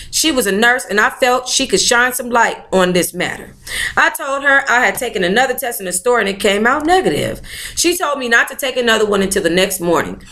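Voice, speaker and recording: A woman's voice: fairly high pitch, pushed and bright. A woman hosting a book club, reading aloud expressively with sassy, informal interjections of her own. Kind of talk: expressive read-aloud with playful ad-lib commentary.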